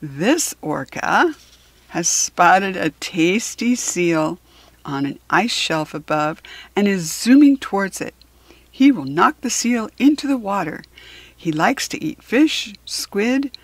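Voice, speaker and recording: American woman, children's book reader. Slow, instructive, chatty asides, dry close sound. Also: mid-range voice, animated, breathy edge, no room sound. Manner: deliberate, instructive, simply explaining to a young listener.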